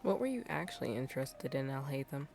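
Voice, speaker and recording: A woman's voice: rumbling low, big pitch swings, fast talker, creaky. A woman game streamer casually reacting and reading dialogue aloud during a live stream. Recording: game music behind the voice.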